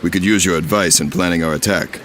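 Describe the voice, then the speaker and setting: An actor playing a cool, shrewd war hero in a video game cutscene, measured and courteous. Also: male voice, low-pitched, fairly bright tone, a little hiss or hum. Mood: composed.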